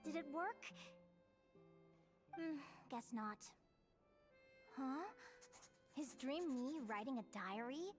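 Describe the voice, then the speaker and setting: A woman playing an eager, dreamy young female adventurer in an expressive game voice. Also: high-pitched, slow, long pauses, faint music behind. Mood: thoughtful, puzzled. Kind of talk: animated game voice acting.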